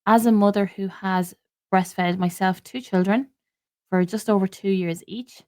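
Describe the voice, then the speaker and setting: A woman's voice: lowish voice, a little mellow. An Irish woman in her thirties hosting a podcast, talking in an earnest, explanatory way while stuffy with a cold and a sore throat. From Ireland. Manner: earnest, explanatory, frequent "um" and restarts. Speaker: podcast host. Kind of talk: informative solo podcast talk.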